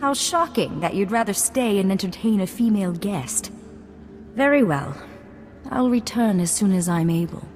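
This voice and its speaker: A woman's voice: medium pitch, lively intonation, moderate pace, lightly acted. A woman playing a proud young noblewoman in a video game, speaking formally and earnestly, with a haughty, scolding edge. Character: proud, prim young noblewoman, earnest heiress of a fallen house.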